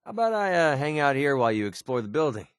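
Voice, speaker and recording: A man playing a young, wisecracking, cynical mercenary with a soft side in a video game, speaking in a wry, colloquial way. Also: fairly high pitch, big pitch swings, fast, clean tone, dry recording, studio quality. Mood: amused. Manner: wry, sarcastic, colloquial delivery. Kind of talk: scripted video game companion dialogue.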